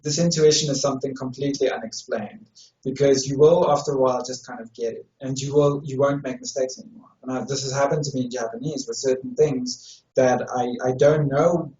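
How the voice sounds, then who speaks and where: A young man with a South African accent explains things patiently, restarting his phrases as he thinks. He sits some way from the mic, so the sound carries room echo. The recording is muffled and lacks crispness. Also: mid-range voice, steady tone, fairly quick, warm tone. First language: Afrikaans. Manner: thoughtful, explanatory, many restarts. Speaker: language tutor. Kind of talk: interview answers. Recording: distant, roomy, dull sound.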